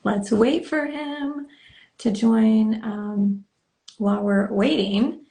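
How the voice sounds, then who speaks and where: A woman hosts a live online chat as a coach, her voice a little gravelly and fried at the edges. She rambles along casually with lots of ums and restarts, speaking into a desktop podcast mic at home. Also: a little high, slow pace, warm tone. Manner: chatty, rambling with fillers. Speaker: host and coach. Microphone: desktop podcast microphone.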